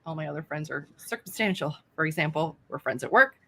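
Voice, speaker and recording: A woman's voice: sits low, expressive, quick pace, bright, forceful tone, slightly rough. A young adult woman, an author hosting a casual live stream, chatting in a self-deprecating way with lots of fillers.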